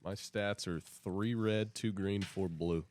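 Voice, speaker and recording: A man's voice: on the low side, steady tone, slow pace, darkish tone. A young man co-hosting a podcast with casual, informal banter. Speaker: podcast co-host.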